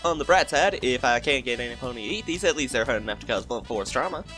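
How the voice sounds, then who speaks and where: A man's voice: mid-range voice, animated, fast, natural voice. Man as a stubborn little cartoon filly, swooping delivery. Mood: eager. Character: young filly pony, scheming wannabe assassin, stubborn and impatient. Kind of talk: cartoon parody dub. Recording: music behind the voice.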